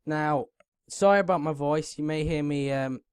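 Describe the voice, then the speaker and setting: A young man hosts his channel, chatting on despite a sore throat and a croaky voice. His voice sits fairly high and still has a smooth core under the rasp. He clears his throat often, and the sound is close and dry with no room echo. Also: normal speed. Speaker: YouTube racing channel host. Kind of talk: casual commentary to viewers.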